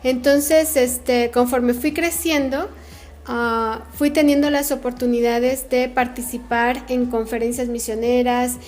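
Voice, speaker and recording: A middle-aged woman from Guatemala with a Guatemalan Spanish accent, answering interview questions earnestly about her missionary work, often pausing on "este" and "eh". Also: on the high side, steady tone, darkish tone. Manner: earnest, frequent fillers like "este" and "eh". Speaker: missionary guest.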